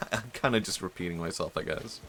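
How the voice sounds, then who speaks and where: A man's voice: sits low, normal speed. A young man streaming live, talking casually and hesitantly, with many fillers, restarts and self-mocking asides. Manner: self-mocking, laughs softly. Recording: faint music behind.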